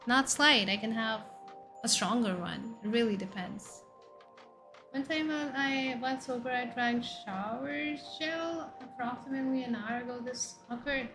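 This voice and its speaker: A woman from India with an Indian accent, a VTuber in her thirties chatting casually with her viewers and firing off questions and loud exclamations. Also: a little high, measured pace. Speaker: VTuber streamer. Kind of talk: casual chat reading viewer messages. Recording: faint background music under voice.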